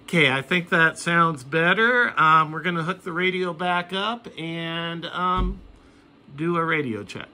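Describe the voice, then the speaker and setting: A man's voice: fairly high pitch, unhurried, noisy background. An American man giving casual how-to narration as a hobbyist video host, recorded in a room at home.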